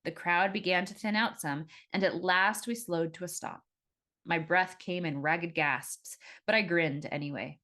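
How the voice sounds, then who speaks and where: A woman reading her own novel aloud as scripted first-person audiobook narration, in an expressive storytelling manner. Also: fairly low pitch, a little slow.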